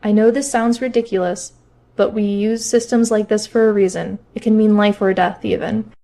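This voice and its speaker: A woman's voice: medium pitch, fairly even intonation, normal speed, warm tone, close to an everyday voice. A woman voicing a stern, sarcastic internet forum moderator in an audiobook, curt and skeptical. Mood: earnest. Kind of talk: audiobook character acting.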